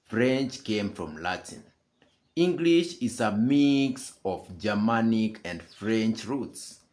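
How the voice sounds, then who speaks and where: Slow, measured male commentator. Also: on the low side, animated, a little mellow. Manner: measured, explanatory. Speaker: channel host. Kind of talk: scripted commentary.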